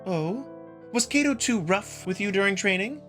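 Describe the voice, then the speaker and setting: A man's voice: high voice, lively intonation, moderate pace, music in the background, pitched above the performer's own voice. A man voicing a haughty, playful young female wolf noble in a theatrical, sing-song visual novel reading. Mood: mock indignant.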